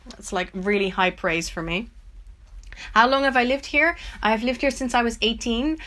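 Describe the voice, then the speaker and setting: A woman's voice: a little high, moderate pace, a bit bright. A young Dutch-born woman with a mix of American and British English accents, answering viewers' questions in a casual, rambling, reflective way from her home art studio. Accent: mixed American and British English. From Netherlands. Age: young adult. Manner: reflective, rambling, thinks aloud with many ums. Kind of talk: casual solo Q&A.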